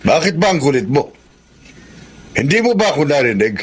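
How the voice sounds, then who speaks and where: A man's voice: fairly high pitch, big pitch swings, normal speed, husky. A man acting a curt, hostile, unhelpful character in a Tagalog radio drama. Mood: hostile, annoyed.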